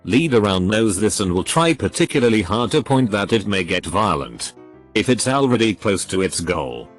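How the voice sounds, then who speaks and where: Male narrator, low voice, lively intonation, scripted story reading, faint music underneath. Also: moderate pace. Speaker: narrator reading forum posts. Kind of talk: scripted narration of reader stories. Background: faint background music.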